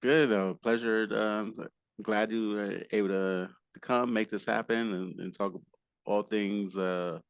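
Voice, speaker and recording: A man hosting a podcast with a hoarse voice, talking casually and rambling, with lots of fillers and restarts. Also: lowish voice, steady tone, unhurried, no room sound. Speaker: podcast host.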